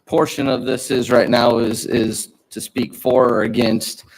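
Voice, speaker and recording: A man chairing a city public hearing, procedural and halting, often repeating himself. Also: medium pitch, little pitch movement, poor audio.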